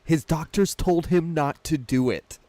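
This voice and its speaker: A man's voice: on the high side, measured pace, mellow, dry recording. A man co-hosting a film podcast in casual discussion.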